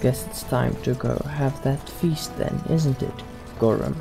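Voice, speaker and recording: A man with a creaky voice hosting a let's play, roleplaying in character over the gameplay. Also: mid-range voice, unhurried, over music. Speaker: gaming channel host.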